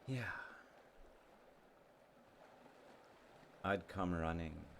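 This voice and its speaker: Man acting a deep, gravelly, wistful sweetheart. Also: slight background noise, heavily acted. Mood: gentle, nostalgic. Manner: halting, gentle, wistful, with trailing pauses. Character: sweet, slightly slow, sentimental childhood friend, a man reminiscing. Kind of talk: intimate audio roleplay monologue.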